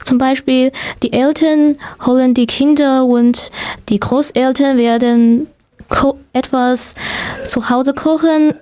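Female radio guest, high voice, Chinese accent, native Chinese speaker, delivers prepared interview answers. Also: steady tone, slow, mellow. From Xiamen, southeast China.

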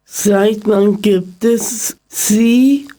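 A woman's voice: medium pitch, extremely slow, warm tone. A female radio host reading out her interview questions carefully, word by word.

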